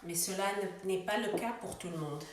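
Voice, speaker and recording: A woman's voice: lowish voice, brisk, roomy sound, a loud crowd. A woman whose first language is Swahili, hosting an earnest inspirational vlog and switching between English and French. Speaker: vlog host. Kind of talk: inspirational bilingual monologue.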